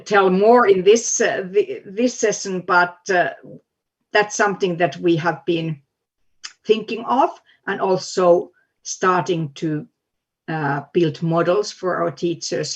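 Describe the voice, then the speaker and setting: A woman with a husky, breathy voice talks earnestly as a guest expert, often stopping to restart her sentences. The sound is dull and lo-fi, like a video call. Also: lowish voice. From Finland. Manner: hesitant, many restarts, earnest. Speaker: guest speaker, education expert. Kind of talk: online seminar discussion.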